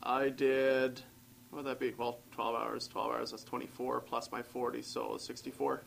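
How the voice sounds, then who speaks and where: A man's voice: mid-range voice, flat delivery, moderate pace, gravelly, slight background noise. A tired man, co-hosting a casual podcast and rambling about long work shifts. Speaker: podcast co-host. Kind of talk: casual chat.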